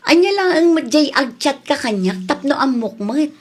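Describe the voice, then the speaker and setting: A hard-working young Ilocano daughter in a radio comedy drama. Her voice is on the high side. She talks plainly, with a slightly peevish edge. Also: expressive, normal speed. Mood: indignant.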